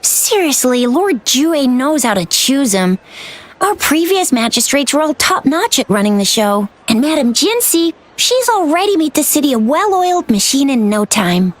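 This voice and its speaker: A woman's voice: high voice, sing-song, moderate pace. A woman voicing a cheerful, playful young woman in a video game, with a casual, slangy, enthusiastic way of talking. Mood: admiring, breezy. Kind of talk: video game character dialogue.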